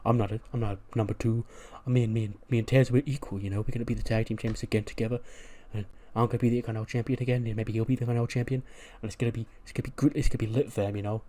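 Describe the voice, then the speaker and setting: A man's voice: medium pitch, little pitch movement, normal speed, few pauses, close to an everyday voice. A man voicing a hot-headed male tag-team wrestler, slangy and defensive, in a retold wrestling scene. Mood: anxious self-talk.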